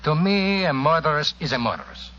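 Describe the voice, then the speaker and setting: An actor playing a courtly, effusive Italian police inspector with formal, hesitant phrasing, in 1940s radio drama style. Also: male voice, fairly high pitch, animated, brisk, pushed and bright. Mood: flustered, polite. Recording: dull, hissy old radio recording.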